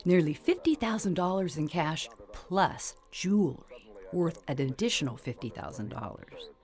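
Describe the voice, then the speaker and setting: A woman's voice: low-pitched, moderate pace. A woman playing an earnest, gossipy friend in a radio drama, telling news expressively. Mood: excited, incredulous. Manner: expressive, newsy.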